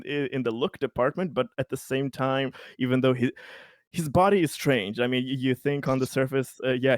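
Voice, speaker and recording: A man chatting casually as a player in a tabletop roleplaying game, halting as he searches for words. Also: mid-range voice, dry recording, clean studio sound.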